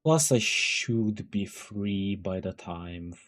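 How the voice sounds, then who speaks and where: Male streamer, casual and low-voiced, thinks aloud at an unhurried pace over music. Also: a bit bright. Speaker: live streamer reading chat. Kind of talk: casual chat to stream viewers.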